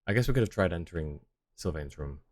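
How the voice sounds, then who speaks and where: Very deep-voiced laid-back male streamer. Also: lively intonation, quick pace. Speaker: game streamer. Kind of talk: casual live-stream chat and read-aloud game dialogue. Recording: game music behind the voice.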